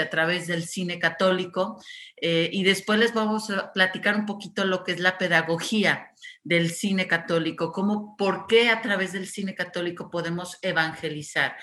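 A woman's voice: lowish voice, bright, forceful tone. A Mexican woman from Guadalajara gives a virtual conference talk, with many "este" and "eh" fillers. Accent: Mexican Spanish. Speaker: festival director giving a talk.

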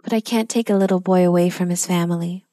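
A woman voicing a lonely old woman who acts like a threatening witch, loud and angry at first, then quiet and sad. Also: fairly low pitch, fairly even intonation, quick pace, no echo, lightly acted.

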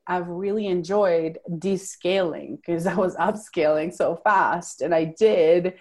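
A woman speaks as an interview guest. She is emphatic and lively, often restarting her sentences. Her voice sits a little low. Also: slow. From Venezuela. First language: Spanish. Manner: animated, emphatic, frequent "like" fillers and restarts. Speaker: guest, manifestation coach. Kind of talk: casual interview talk.

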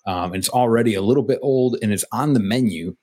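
Low-voiced male co-host, loose and chatty, full of fillers. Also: fairly quick, mellow. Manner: chatty, rambling, with fillers and restarts. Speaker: podcast co-host. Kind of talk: casual beer podcast chat.

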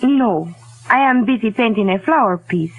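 A woman's voice: medium pitch, animated, normal speed, narrow, tinny audio, close to an everyday voice. An actress playing a humble, friendly woman painter in a 1940s radio drama. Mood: humble, obliging. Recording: old 1940s radio recording.

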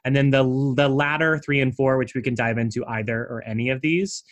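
A man's voice: mid-range voice, a little fast. Man animatedly explaining as an interview guest. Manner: animated explainer, frequent "like" asides. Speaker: branding coach guest. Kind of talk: conversational interview.

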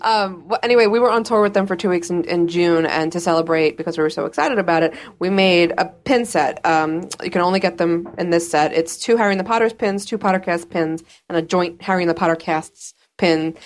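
A woman's voice: on the low side, brisk, few pauses. A woman co-hosting a live podcast in front of a crowd, chatty and laughing often while plugging merchandise. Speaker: podcast co-host. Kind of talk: live podcast show before an audience.

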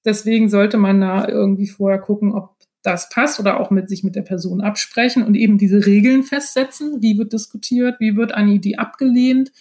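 A woman's voice: mid-range voice, steady tone, a little fast, hardly any pauses, darkish tone. A woman speaking as a podcast guest, explaining things from her own experience in a chatty, informal way.

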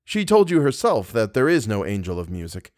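A lovesick young gentleman from a classic novel, as a male reader plays him. He is courteous and anxious, speaking formally with impassioned, widely moving pitch in a dry recording. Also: wildly swooping pitch, brisk, no room sound. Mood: urgent, insistent.

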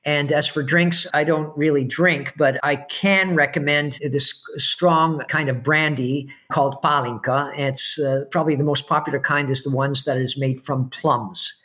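A middle-aged man from Canada, a podcast guest, answering in a relaxed, measured way with hesitations and small chuckles. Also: fairly high pitch. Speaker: podcast guest, expat in Budapest. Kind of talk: casual interview answers. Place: home, remote podcast call.

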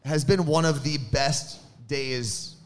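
A man's voice: fairly high pitch, fairly even intonation, slow pace. Joking male radio host. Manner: laughing, jokey banter. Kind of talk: live podcast chat. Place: live podcast event before an audience.

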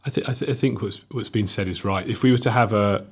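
A young man from South Wales with a South Welsh accent, explaining economics on a discussion panel in a measured way that stumbles and restarts. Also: on the low side, breathy edge. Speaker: panel guest.

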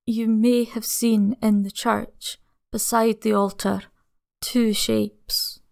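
A man reading aloud and putting on the voice of an old country housekeeper, a placid, superstitious woman with a who speaks slowly and ominously. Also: high-pitched, flat delivery, vocal fry, voice pushed up, heavily acted. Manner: slow, hushed, ominous.

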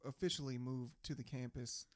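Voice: mid-range voice, steady tone, moderate pace, no echo, studio quality. A podcast host chatting casually, blunt and teasing, swearing freely and laughing a lot.

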